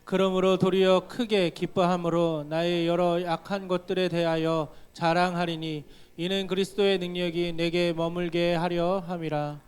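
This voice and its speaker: Congregation, unison scripture reading, slow, steady flat pitch, clear.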